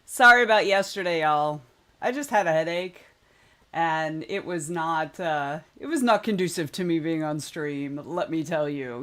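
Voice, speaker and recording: A woman hosting a casual writing livestream, chatting and greeting viewers by name. Her voice is a little hoarse and wobbly, and she keeps clearing her throat. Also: on the low side, a bit bright. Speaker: livestream host. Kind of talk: casual chat on a writing stream.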